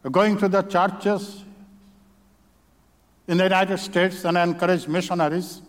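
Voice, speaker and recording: A man from Bangladesh with a Bangladeshi accent, a native Bengali speaker, preaching as a visiting speaker in church, in short halting phrases. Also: sits high. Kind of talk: church sermon testimony. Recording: long reverb of a church hall.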